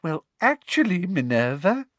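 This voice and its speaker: A man performs a smug older British woman official in an audiobook. The voice is high and lilting, poisonously sweet on the surface. It simpers with fussy condescension. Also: sing-song, unhurried, dry recording. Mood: smug simpering. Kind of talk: audiobook character voice.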